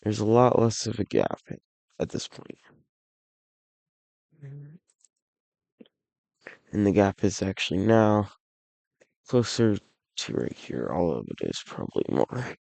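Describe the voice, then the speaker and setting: A man narrating his alternate-history map series in a loose, rambling way, sounding a bit raspy and under the weather, often restarting and correcting himself. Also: on the low side, very animated, takes it slowly, long pauses, a little mellow, dry recording, low-quality recording. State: unwell, a bit hoarse.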